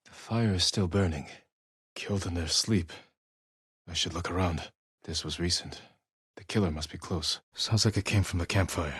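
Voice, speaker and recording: A man voicing a stoic, honorable samurai warrior in a video game, speaking in a measured, solemn and restrained way. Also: low-pitched, fairly bright tone, breathy edge, dry recording, studio quality, heavily acted. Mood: quiet, brooding. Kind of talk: dramatic video game voice acting.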